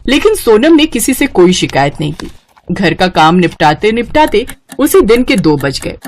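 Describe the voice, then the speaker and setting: A woman's voice: mid-range voice, very animated, normal speed, darkish tone, a little hiss or hum. A woman narrating a scripted moral family story.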